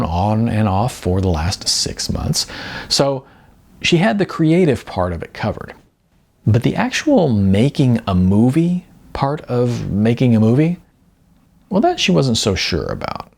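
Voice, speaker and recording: A man who is an author reading his own novel as audiobook narration, with expressive emphasis and drawn-out words. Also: medium pitch, gravelly.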